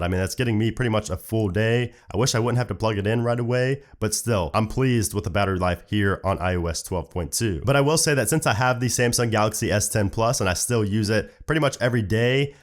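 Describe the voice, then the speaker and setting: A man hosting a casual tech review video, sounding under the weather as he talks viewers through phone software. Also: lowish voice. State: sick, with an affected voice. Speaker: tech reviewer, YouTube channel host.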